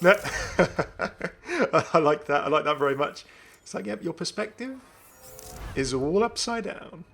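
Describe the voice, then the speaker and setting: A man's voice: fairly high pitch, animated, slow, vocal fry, a bit bright, a little hiss or hum. A man giving casual, off-the-cuff video game commentary as a host, chatty and often musing aloud. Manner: chatty, musing aloud, asks himself questions.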